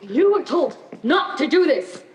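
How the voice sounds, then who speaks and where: A teenage girl in a school stage play, playing a brisk, controlling male Victorian doctor with a formal, instructing manner. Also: high-pitched, big pitch swings, slight background noise. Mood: authoritative.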